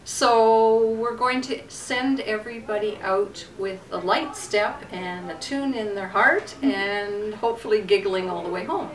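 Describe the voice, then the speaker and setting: A woman's voice: mid-range voice, unhurried, few pauses. A woman who directs theatre, talking unscripted about her work in an interview. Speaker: experienced amateur theatre director being interviewed. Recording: roomy echo, distant microphone.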